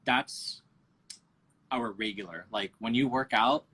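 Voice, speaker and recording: A man chatting on a video call from his phone as a podcast guest, relaxed, swearing often, calling the host "bro". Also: mid-range voice, takes it slowly, husky. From United States. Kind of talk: casual interview chat. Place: home over video call.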